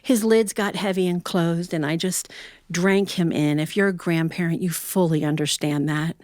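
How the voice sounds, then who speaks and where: A middle-aged woman telling humorous personal stories to an audience in a folksy, animated way, acting out bits of dialogue. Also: fairly low pitch, normal speed, no echo, clean studio sound. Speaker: storyteller.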